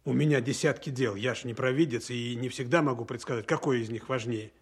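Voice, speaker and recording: A man acting a dutiful, overworked Soviet counterintelligence officer in a Russian radio play, earnest and frank, with a slightly husky voice. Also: medium pitch, a little fast. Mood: defensive, heated. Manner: earnest, matter-of-fact reporting. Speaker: actor in an audio drama.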